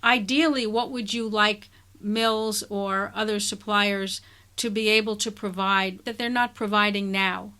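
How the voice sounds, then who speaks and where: A woman hosting a podcast interview, speaking in a measured, thoughtful way. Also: mid-range voice, steady tone, sharp tone, clean tone. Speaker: podcast host. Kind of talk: conversational interview.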